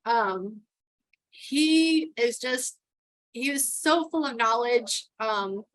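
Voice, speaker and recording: A woman's voice: a little high, animated, drawn-out words, a bit bright, poor audio. A middle-aged woman from New York with a Texan accent, chatting casually as an interview guest and laughing often. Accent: Texan with some New York. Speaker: guest, towboat port captain.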